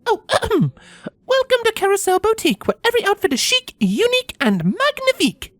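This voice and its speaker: A man's voice: extremely high pitch, very animated, moderate pace, dry recording, pitched above the performer's own voice. A man voicing a refined, theatrical female unicorn fashion designer with an affected, gushing, posh manner, in a story reading. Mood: welcoming, upbeat.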